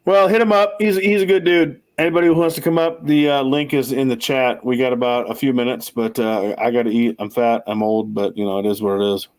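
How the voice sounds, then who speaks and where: A man's voice: mid-range voice, steady tone, a little fast, few pauses, a little mellow. A man in his forties hosting a casual livestream, rambling and swearing, often mocking himself. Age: 40s. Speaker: livestream host.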